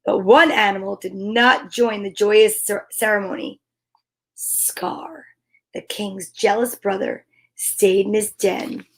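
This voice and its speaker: A woman's voice: mid-range voice, takes it slowly, creaky, a bit bright, rough recording. A woman hosting a story time, reading a children's tale dramatically with comic asides in a theatrical manner. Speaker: host and storyteller.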